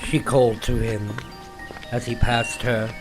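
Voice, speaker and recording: Slow, theatrical male storyteller over music. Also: mid-range voice, level tone, poor audio. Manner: theatrical, deliberate pauses. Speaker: story narrator. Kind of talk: dramatic scripted horror story narration.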